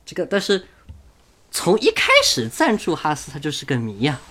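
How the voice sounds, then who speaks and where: A man's voice: on the high side, very animated, moderate pace, a bit bright. A man co-hosting a motorsport podcast in casual conversation. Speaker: podcast co-host.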